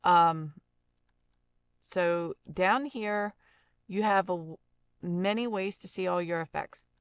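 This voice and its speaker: A woman narrating a casual software tutorial as an audio instructor, explaining informally and thinking aloud. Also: lowish voice, slow, smooth, dry recording.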